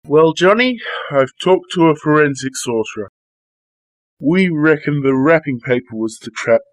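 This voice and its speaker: A man's voice: a little high, animated, normal speed, no echo, lightly acted, poor audio. A man playing a matter-of-fact police detective giving a briefing in a radio play. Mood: grave, confiding.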